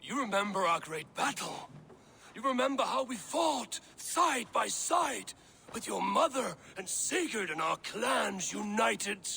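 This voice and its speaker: A man playing a proud, effusive older Viking father with a gravelly voice in a game cutscene. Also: high-pitched, very animated, measured pace, sharp tone, slight background noise, heavily acted. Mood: rousing. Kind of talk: dramatic game cutscene acting.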